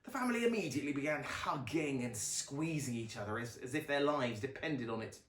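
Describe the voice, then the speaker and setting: A man reading children's fiction aloud as a dramatic, expressive narrator with a deliberate delivery. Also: mid-range voice, echoey room.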